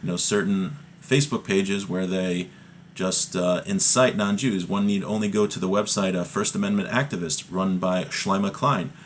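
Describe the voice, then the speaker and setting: A man's voice: deep voice, fairly even intonation, moderate pace, a little hiss or hum. A man giving an informal religious lesson, reading from a text and explaining it point by point, often repeating key phrases. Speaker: religious teacher giving a class.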